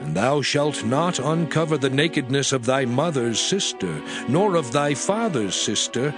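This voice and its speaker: A man narrating a dramatized scripture reading in a solemn, measured way. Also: medium pitch. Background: music.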